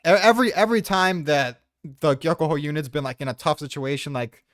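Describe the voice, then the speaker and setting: A man's voice: on the high side, fairly quick, a bit bright, dry recording, studio quality. A man defending his opinions in a casual debate, informal and prone to swearing. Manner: informal, profane. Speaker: tier list maker defending placements. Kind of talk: casual debate about manga character rankings.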